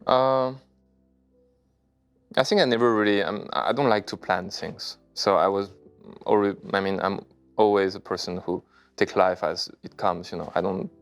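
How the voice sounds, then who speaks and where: Guest, 30s man from China, very low gravelly voice, smooth, hesitant phrasing, soft music under. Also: fairly even intonation. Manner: halting, searching for English words. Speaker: interview guest, poker player. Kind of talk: casual interview answers.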